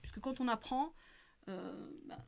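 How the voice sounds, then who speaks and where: A woman's voice: on the high side, animated, measured pace, creaky. Hesitant woman giving a spiritual talk. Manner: hesitant, many fillers and restarts. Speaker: religious speaker. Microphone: live stream.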